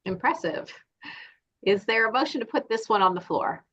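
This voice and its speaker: A woman chairing a formal board meeting. She calls votes in brisk, procedural phrases. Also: a little high, animated, sharp tone. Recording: dull, lo-fi sound.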